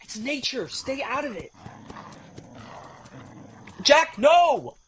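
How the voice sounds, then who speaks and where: A man's voice: very high voice, big pitch swings, extremely slow, fairly bright tone, heavily acted. A man playing a weary, sardonic, reluctant young vampire in an intimate audio drama, guarded and dry. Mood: alarmed, urgent.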